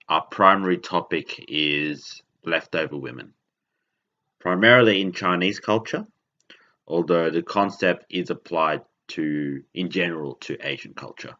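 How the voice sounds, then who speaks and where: A man from Indonesia hosts a casual podcast monologue. His voice sits extremely deep, and he talks haltingly, often stopping to correct himself. The recording sounds dull and lo-fi. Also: bright, forceful tone. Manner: rambling, self-correcting, halting. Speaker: podcast host.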